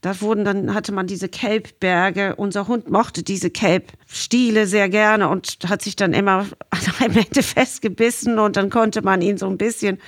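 A woman's voice: mid-range voice, fairly even intonation, moderate pace, hardly any pauses, no echo, clean studio sound. A middle-aged woman from Ireland telling stories conversationally as an interview guest. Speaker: interview guest, marine scientist. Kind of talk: conversational storytelling, reminiscing.

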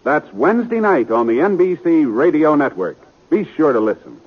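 Old time american radio announcer guy, super lively delivery, upbeat and polished, slightly muffled. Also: a little high, moderate pace, breathy edge. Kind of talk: 1950s radio announcing, scripted promo. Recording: dull 1950s radio broadcast.

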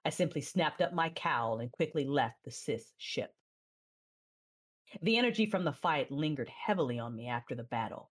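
A low-pitched female voice carries this sci-fi audio drama. She is a resolute, battle-hardened warrior recounting her own story. The delivery is measured and dramatic, like a memoir told aloud. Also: expressive. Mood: grave, determined. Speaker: narrator and lead character. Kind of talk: first-person dramatic narration in an audio drama.

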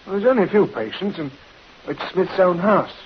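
A man's voice: sits high, animated, moderate pace. A man playing a simple, deferential servant in vintage radio drama, hesitant and obliging. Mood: eager, uncertain. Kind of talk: 1950s radio drama acting. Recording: muffled old radio recording with hiss.

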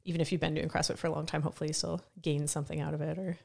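Fast-talking woman with a low voice. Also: little pitch movement, gravelly, darkish tone. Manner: chatty, laughs often, says "like" a lot. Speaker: podcast host and CrossFit coach. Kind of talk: casual interview chat.

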